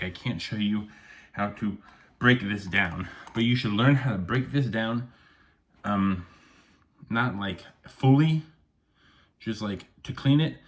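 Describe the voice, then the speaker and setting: Man, low voice, casual vlog, rambling, keeps saying okay, camera audio, home office. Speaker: firearms YouTuber. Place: messy home office room. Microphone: no external mic, camera audio.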